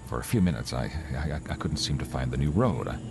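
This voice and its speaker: An actor playing an anxious motorist who narrates his drive in an old-time radio drama, intense and halting as his nerves rise. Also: very deep voice, lively intonation, vocal fry, background noise, heavily acted. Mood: brooding. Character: haunted, anxious male motorist recounting his cross-country drive. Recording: vintage radio recording with music underneath.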